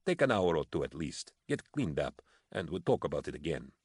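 Man acting a deep, measured army captain. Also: big pitch swings, darkish tone, breathy edge, dry recording, studio quality, heavily acted. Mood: calm. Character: level-headed, low-key male army captain. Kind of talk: audiobook character acting.

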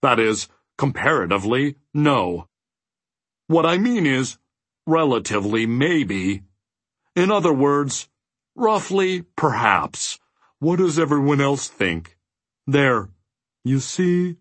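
A man's voice: mid-range voice, sing-song, takes it slowly, fairly bright tone, dry recording, pitched above the performer's own voice, full character voice. A man acts out an enormous storybook giant who blusters and grumbles to hide his fear. The speech wavers and hedges peevishly, with the voice close and dry. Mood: peevish, dithering. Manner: bellowing, hedging, indecisive. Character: huge fearful, ferocious gelatinous male giant, peevish.

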